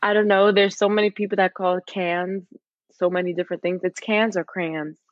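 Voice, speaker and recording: A woman's voice: mid-range voice, fairly even intonation, moderate pace, dry recording, muffled recording. A woman chatting informally as a podcast guest, in a casual and conversational way.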